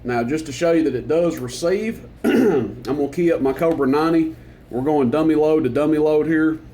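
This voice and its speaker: A man with a loud voice, casually demonstrating a CB radio at his workbench, talking in a relaxed, rambling way with lots of fillers. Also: a little high, moderate pace, heavy background noise. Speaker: CB radio reviewer.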